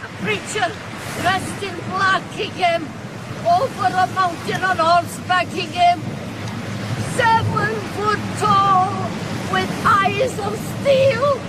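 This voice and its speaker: An old Welsh wise woman, grim and mournful, in a radio horror play. Her voice is very high and she speaks in slow, incantatory phrases. Loud outdoor noise surrounds her. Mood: grim, foreboding. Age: older. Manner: slow, incantatory, doom-laden. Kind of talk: radio horror drama acting. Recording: heavy outdoor background noise.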